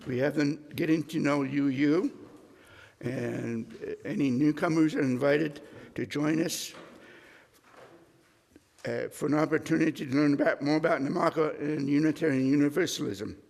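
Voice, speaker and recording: Male worship associate, slightly high voice, deliberate welcome and notices, long hall reverb. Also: creaky, darkish tone. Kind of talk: welcome and announcements, partly read. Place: congregation's meeting hall.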